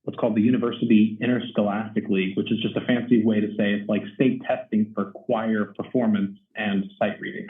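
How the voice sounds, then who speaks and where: Young choir teacher guy from texas, lowish voice, sounds far off and phoney, lots of ums. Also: steady tone, a little fast, few pauses. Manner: rambling with many ums and restarts. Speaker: first-year choir teacher, guest. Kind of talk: casual interview chat. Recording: echoey, distant, phone-like audio.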